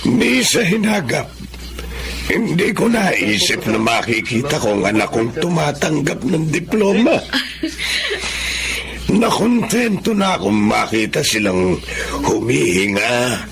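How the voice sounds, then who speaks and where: An actor with a Filipino accent playing a humble, poor older father, halting and emotional with gratitude, in a radio drama. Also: medium pitch, very animated, gravelly, crowd noise, full character voice. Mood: tearful wonder.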